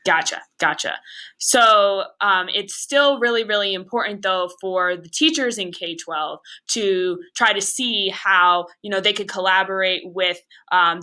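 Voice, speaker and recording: A woman's voice: medium pitch, a little slow, bright, forceful tone, low-quality recording. A woman interviewing a guest in casual conversation, chatty, with many fillers.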